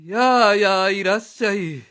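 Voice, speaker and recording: A man's voice: sits high, unhurried, sharp tone, clear, resonant tone. An actor playing a flustered but affable man bluffing as a schoolboy's father in a Japanese radio drama. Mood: evasive, flustered. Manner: hesitant, evasive, affable bluffing.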